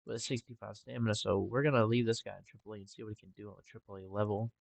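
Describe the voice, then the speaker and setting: A young man talking casually over a game with a congested, stuffy nose and a relaxed, rambling manner. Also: fairly low pitch, rapid-fire, vocal fry, no room sound. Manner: relaxed, rambling with filler ums. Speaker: gaming channel host. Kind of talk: casual gameplay commentary.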